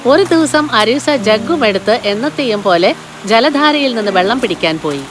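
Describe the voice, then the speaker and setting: A woman's voice: on the high side, animated, normal speed, music in the background. A woman narrator reading a scripted fairy tale for a children's cartoon.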